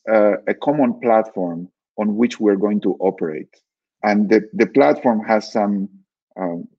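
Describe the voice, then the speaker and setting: A man from Argentina, a guest author giving calm, measured explanations in an interview. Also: on the low side, husky, far from the mic, dull audio. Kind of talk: reflective interview answers.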